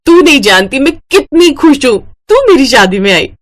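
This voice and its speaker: A woman's voice: high-pitched, big pitch swings, normal speed, a little hiss or hum, voice pushed up. Woman voicing a coy, scheming young bride. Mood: gushing. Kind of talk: Hindi moral-story cartoon acting.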